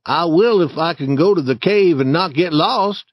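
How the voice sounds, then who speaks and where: A man's voice: on the high side, moderate pace, no echo, dull audio, pitched above the performer's own voice, natural voice. A man reading a story, voicing a nervous, superstitious young boy who hesitates and stammers. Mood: wary.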